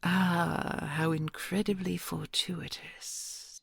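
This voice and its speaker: A woman plays a huge ancient dragon queen, kind and doting, who speaks in a hushed, very breathy murmur full of gravelly fry. She draws out her words slowly and teases her human gently. The sound is dry and close, with no room around it. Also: on the low side, level tone, no room sound, exaggerated voice. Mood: tender, teasing. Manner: soft hushed storytelling, affectionate and gently teasing. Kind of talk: ASMR audio roleplay.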